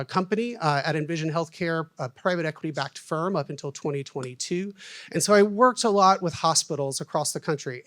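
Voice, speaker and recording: A man's voice: on the high side, fairly bright tone. A man in his forties with a Southern American accent, moderating a conference panel in a folksy, self-deprecating way with plenty of fillers. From United States.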